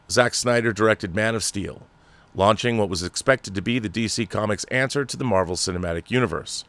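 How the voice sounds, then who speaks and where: A man with a fairly low voice hosts a film commentary show. He speaks emphatically and conversationally, dropping in asides. The recording is dry and close, with little room sound. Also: a bit bright, no room sound. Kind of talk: casual commentary and reading an article aloud.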